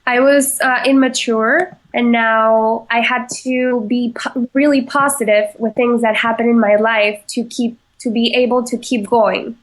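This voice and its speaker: A young woman talks slowly and unhurriedly, pausing on fillers and restarting her sentences. Her voice sits a little high, and she keeps a relaxed, easygoing tone as a guest on a chat podcast. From Venezuela. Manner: halting, many fillers and restarts, laughs easily. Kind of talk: casual interview answers.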